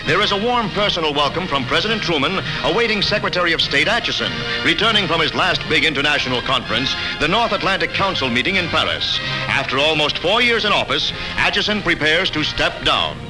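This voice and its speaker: A man's voice: fairly high pitch, sing-song, fairly quick, over loud music, low-quality recording. An American man narrating a 1950s newsreel in a formal, emphatic announcer style, on a vintage soundtrack.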